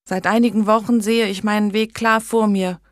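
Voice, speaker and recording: A woman's voice: mid-range voice, little pitch movement, normal speed, no room sound, clean studio sound, pitched above the performer's own voice, natural voice. A woman voicing a pious young noblewoman who earnestly pleads with a king, in a storybook reading. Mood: earnest pleading. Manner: earnest, imploring.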